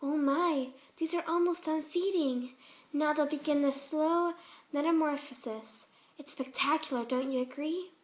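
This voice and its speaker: Lady doing a bashful little girl pegasus from a cartoon, high and sweetly polite, kinda hesitant. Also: a little slow. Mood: admiring. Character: shy, gentle, soft-spoken young female pegasus pony.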